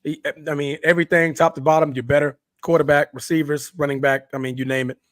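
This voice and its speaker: A man with a somewhat high, raspy, hoarse voice talks through game picks in a loose, rambling way, with lots of ums and you-knows. The sound is dry and close. Casual sports-podcast chat. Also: quick pace, dry recording. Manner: relaxed, rambling with many fillers. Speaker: podcast co-host.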